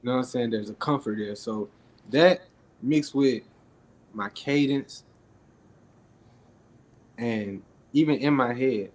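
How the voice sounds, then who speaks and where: Man, young, raspy, projecting, relaxed rapper in interview. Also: mid-range voice, slow, pauses often. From Atlanta, US. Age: young adult. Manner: projecting his voice, laid-back with frequent fillers. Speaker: rapper guest. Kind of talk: casual interview talk.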